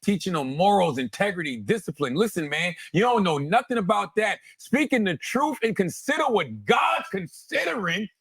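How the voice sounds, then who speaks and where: A man with a fairly high voice talks as an animated host in casual commentary. He leans on repeated phrases for emphasis and swears freely. The sound is close and dry. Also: normal speed, gravelly, few pauses, slightly rough, no echo. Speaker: host breaking down rap lyrics line by line.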